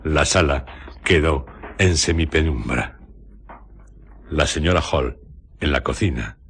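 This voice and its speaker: A man with an accent from Spain narrating a radio drama in a measured, literary manner. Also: a bass voice, husky.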